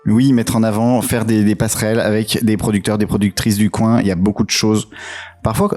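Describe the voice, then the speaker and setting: A man's voice: fairly low pitch, little pitch movement, brisk, a little mellow, soft background music. A man co-hosting a podcast in a casual, joking style. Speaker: podcast co-host.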